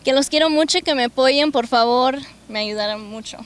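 A woman's voice: high-pitched, a little fast. A young woman from Los Angeles, a singer, answering interview questions eagerly outdoors in a park, speaking into a handheld mic. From Los Angeles, United States. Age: young adult. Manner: bubbly, eager, slips in English words. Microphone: handheld mic held by interviewer.

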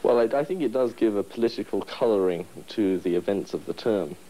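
Young male student interviewee, low mellow voice, hesitant, wry, indoor study. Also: expressive, brisk, a little hiss or hum, low-quality recording. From England. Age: 20s. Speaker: union president interviewee. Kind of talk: interview answers.

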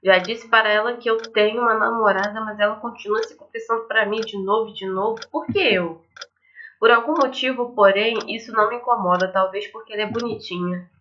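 A woman's voice: mid-range voice, a little fast, a bit bright, slight background noise. A young woman with a Brazilian accent, reading a visual novel aloud and adding casual asides as a gaming host. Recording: dull lo-fi microphone, faint music. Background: faint game music.

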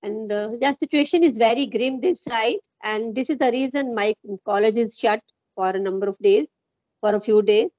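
A middle-aged woman with an Indian accent, coaching in conversation in a measured, encouraging way and repeating phrases for emphasis. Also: a little high, no echo. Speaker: host, English-speaking coach. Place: small room at home, indoors. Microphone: wired earphone headset on video call. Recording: muffled video-call audio.

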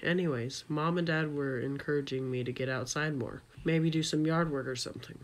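An enthusiastic, geeky schoolboy played by a man with a fairly high voice. He tells his story in a casual, youthful way, as in an audio drama. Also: steady tone, brisk, close to an everyday voice. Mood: casual, eager. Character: Seaver, enthusiastic middle-school tech-geek boy.

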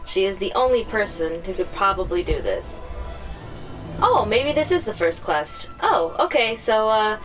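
A woman's voice: medium pitch, lively intonation, unhurried, fairly bright tone, faint music behind, poor audio. A woman giving casual, informal gameplay commentary as a video creator, thinking aloud as she plays.

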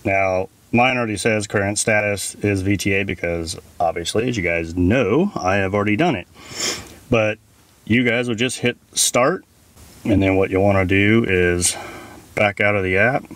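A man's voice: fairly low pitch, normal speed, fairly bright tone. A man in his thirties giving an informal, step-by-step tech tutorial as the host. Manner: informal, instructional.

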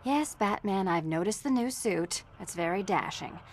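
A woman's voice: medium pitch, animated, normal speed, a little hiss or hum, close to an everyday voice. Woman acting a sly, flirtatious cat burglar. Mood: sardonic, teasing. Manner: teasing, purring, sardonic. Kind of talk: video game character acting.

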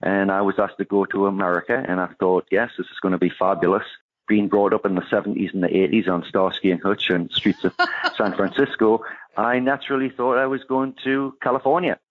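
A man with a Geordie English accent talks as a guest chef, easygoing and chatty with frequent "uh" pauses and ready laughs. His voice sits on the low side. It comes through a thin, tinny phone line with no room echo. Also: level tone. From northeast England. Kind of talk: casual interview chat.

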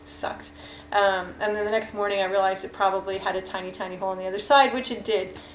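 A woman's voice: mid-range voice, fairly even intonation, quick pace, a little hiss or hum. A woman in her thirties rambling frankly through a casual gear review at home, full of fillers, recorded on a webcam at her desk. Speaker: hiking vlogger. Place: kitchen at home, indoors. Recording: echoey room, faint ambience.

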